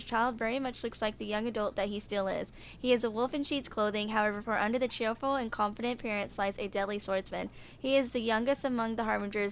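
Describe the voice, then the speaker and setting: A woman in her twenties hosting a gaming video in an informal, rambling way. Her voice sits at an average pitch for a woman, and there is some noise behind her. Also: fairly bright tone. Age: 20s. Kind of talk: casual chat and reading game text aloud.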